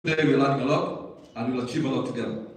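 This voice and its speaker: A man's voice: mid-range voice, fast. A man hosting an event in a motivational style, exhorting the audience. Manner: exhorting, rhetorical questions. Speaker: event host, master of ceremonies. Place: echoey event hall with audience. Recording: very echoey, distant mic, faint noise.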